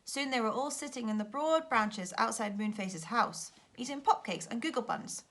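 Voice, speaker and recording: A woman teacher reading a children's story aloud with expressive narration. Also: fairly high pitch, moderate pace.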